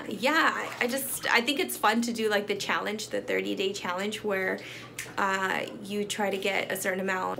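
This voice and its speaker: A woman's voice: mid-range voice, gravelly, bright, forceful tone, slight background noise. A woman in her thirties, chatting casually to her phone camera at home and in the car, rambling with fillers and little laughs. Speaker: vlogger, mom. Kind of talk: casual daily vlog chat. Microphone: phone camera at arm's length.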